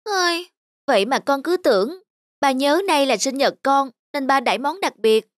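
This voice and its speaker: A young woman playing a devoted, sentimental adult daughter in a cartoon drama, speaking in an expressive, earnest way. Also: high-pitched, moderate pace, no room sound, studio quality. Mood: delighted, affectionate. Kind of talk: animated cartoon drama acting.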